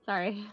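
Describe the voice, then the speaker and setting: A woman chatting casually as a tabletop game player, heard through dull lo-fi call audio. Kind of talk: casual chat.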